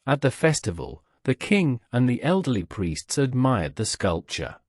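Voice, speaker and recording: A man's voice: medium pitch, big pitch swings, a little slow, a little mellow, no echo, clean studio sound. A man narrating a scripted bedtime story as a storyteller.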